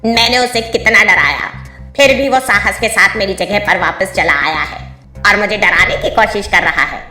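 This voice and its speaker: A woman's voice: mid-range voice, normal speed, pushed and bright, reverberant, soft background music, natural voice. A woman voicing a mischievous, gleeful female ghost in a cartoon story, taunting and theatrical. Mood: puzzled, uneasy. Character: mischievous gleeful female ghost who loves scaring travellers. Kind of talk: Hindi cartoon moral story voice acting.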